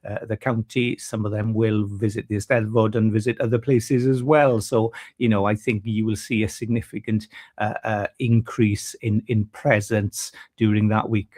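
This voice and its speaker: A man's voice: fairly low pitch, little pitch movement, moderate pace, darkish tone. A man, a guest on a radio show, talking about a festival with many fillers and restarts. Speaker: festival committee vice chair. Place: radio studio.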